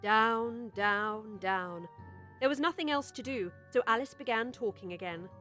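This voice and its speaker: A woman narrating a children's storybook audiobook with expressive, scripted storytelling. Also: fairly high pitch, unhurried, soft background music.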